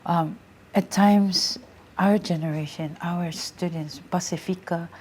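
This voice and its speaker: Guest: woman from American Samoa, Samoan native speaker, lower-pitched, hesitant and warm in her storytelling, faint hiss. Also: fairly even intonation, takes it slowly. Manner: many fillers and restarts, laughs readily. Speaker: guest, Samoan language teacher. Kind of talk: casual interview, reflective storytelling.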